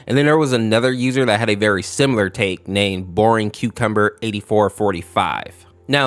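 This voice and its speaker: A man's voice: medium pitch, fairly bright tone. A man narrating an explainer commentary in an informal, conversational way. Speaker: video narrator explaining horror lore. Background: music bed.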